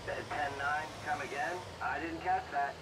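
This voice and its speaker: A man's voice: a little high, fairly even intonation, moderate pace. A man playing an offhand dispatcher over a two-way radio in a video game, in clipped radio-code talk. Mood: offhand, businesslike. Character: offhand male tow-truck radio dispatcher. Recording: two-way radio under loud engine noise.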